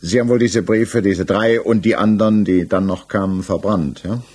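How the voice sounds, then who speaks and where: Insistent low-voiced male interrogator. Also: fairly even intonation, moderate pace, a little mellow, husky. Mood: insistent, sceptical. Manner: formal, probing, pointed questioning. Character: insistent male examining magistrate, coolly sceptical and probing. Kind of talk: 1960s German radio play acting, interrogation dialogue.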